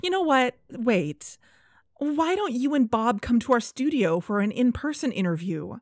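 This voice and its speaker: A woman's voice: a little high, animated, measured pace, darkish tone, no room sound, clean studio sound. An actress playing an earnest, persuasive young woman who hosts an investigative podcast in an audio drama. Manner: earnest, coaxing. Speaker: podcast host. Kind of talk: fiction podcast narration and phone dialogue.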